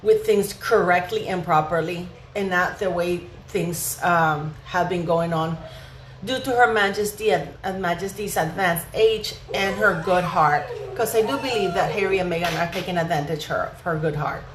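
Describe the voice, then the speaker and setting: A woman's voice: fairly low pitch, moderate pace. A woman hosting an opinionated gossip commentary vlog, speaking emphatically and indignantly with rhetorical questions. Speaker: vlog host. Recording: echoey room with faint background noise.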